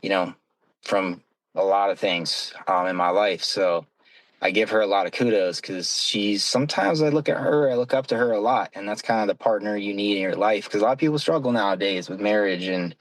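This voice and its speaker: A young man talks in an earnest, rambling way, as a guest on a casual podcast. His voice sits on the low side. The sound is dry and close, with almost no room around it. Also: brisk, no room sound, low-quality recording. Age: young adult. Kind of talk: casual chat.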